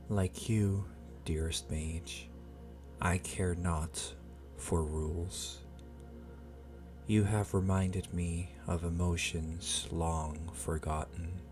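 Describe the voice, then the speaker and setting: Ancient male dragon, performed by a man, ASMR roleplay. Extremely unhurried, even-toned, condescending yet intimate, with formal, archaic phrasing. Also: low voice. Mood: possessive, tender. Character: ancient male dragon familiar, powerful, possessive, amused, seductive.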